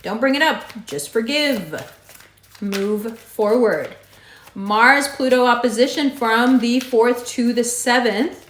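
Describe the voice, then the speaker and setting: A woman with a raspy voice giving a monthly horoscope reading, direct and emphatic, repeating her advice. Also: on the high side, takes it slowly, a little hiss or hum. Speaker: astrology reader. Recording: echoey room.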